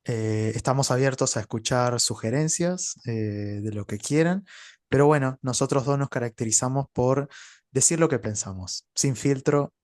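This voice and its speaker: A man's voice: mid-range voice, moderate pace, darkish tone, dry recording, clean studio sound. A man from Uruguay with a Rioplatense accent, a Spanish teacher who explains things in an easygoing way during a casual chat.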